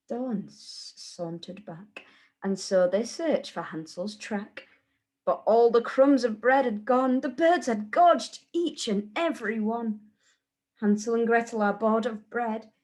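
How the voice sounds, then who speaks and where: Woman, higher-pitched, very slow pace, sing-song children's storyteller, rhyming read-aloud. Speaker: storyteller, teacher.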